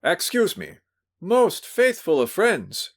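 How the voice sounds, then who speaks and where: A man voicing a drunk, sentimental, fawning middle-aged gentleman in a storybook reading, pleading and effusive. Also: mid-range voice, huge pitch swings, unhurried, pitched above the performer's own voice.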